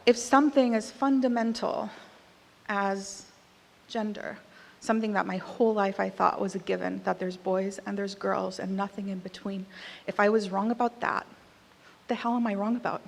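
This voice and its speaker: A woman's voice: medium pitch, fairly even intonation, moderate pace, gravelly. A woman from Costa Rica with an American accent, in her thirties, giving a candid, conversational speech at an awards event, with some emphatic punches. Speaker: award speaker. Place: large hall. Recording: reverberant hall.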